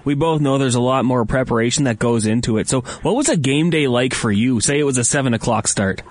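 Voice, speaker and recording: A man's voice: medium pitch, quick pace. A young man hosting a podcast, asking friendly, curious questions in casual chat. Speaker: podcast host.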